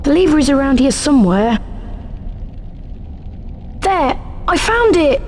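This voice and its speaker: A woman's voice: high-pitched, very animated, measured pace, a little mellow, a little hiss or hum. A woman voicing a friendly, grumbling young British schoolboy wizard in a video game, chummy and exclamatory. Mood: helpful. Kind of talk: scripted video game acting. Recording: music behind game dialogue.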